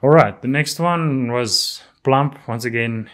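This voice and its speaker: A man's voice: medium pitch, slow, fairly bright tone. A man whose first language is Afrikaans casually talks through his drawings, with many ums and restarts. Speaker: artist reviewing his drawings. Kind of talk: casual narration over artwork.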